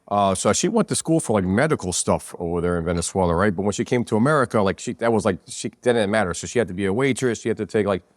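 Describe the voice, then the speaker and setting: Man from new jersey, 40s, rapid rambling storyteller, profane street talk, dry close sound. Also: lowish voice, expressive, no room sound. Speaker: podcast guest, business owner. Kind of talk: casual storytelling chat. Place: pizzeria.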